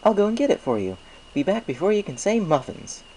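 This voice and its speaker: Man putting on a high-pitched cheerful pony shopkeeper. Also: sing-song, brisk, slight background noise, rough recording. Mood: chatty, helpful. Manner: bright, eager, folksy shopkeeper. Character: cheerful, chatty male cartoon pony baker.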